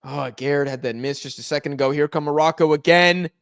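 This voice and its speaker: A man hosting a live football watch-along, reacting excitedly to every chance and shouting at near misses, his voice going hoarse. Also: fairly high pitch, fast talker, no echo, clean studio sound. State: hoarse, losing voice.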